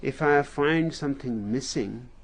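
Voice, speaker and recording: A spiritual teacher with an Indian accent speaks very slowly, leaving long pauses between short phrases. His voice has a gravelly, fry-laden texture. He is a native Hindi speaker telling a story in a calm discourse. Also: medium pitch, rough recording.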